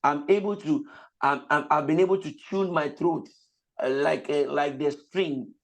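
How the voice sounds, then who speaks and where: Male pastor-lecturer, high register, slow, deliberate delivery; a bit under the weather with a cold; online class over a video call, repeats points. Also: steady tone, poor audio. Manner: explains slowly, repeats points, breaks into sung examples. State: has a cold, voice slightly lost. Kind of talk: online vocal training lecture with sung demonstrations.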